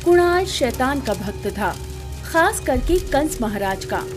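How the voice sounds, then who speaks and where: Expressive, lively female storyteller. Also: sits high, slow, over music. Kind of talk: scripted bedtime story narration.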